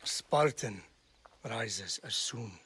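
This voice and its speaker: An actor playing a stern middle-aged Spartan father and soldier, giving measured, commanding orders. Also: fairly low pitch, expressive, pushed and bright, breathy edge, exaggerated voice. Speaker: military trainer.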